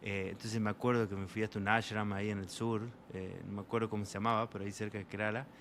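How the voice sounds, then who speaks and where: A young man from Argentina with an Argentine Spanish accent, an interview guest telling travel stories in a relaxed, rambling way full of fillers. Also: lowish voice, fast talker, a little hiss or hum. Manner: rambling, many fillers, voices quoted people. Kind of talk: casual storytelling in an interview.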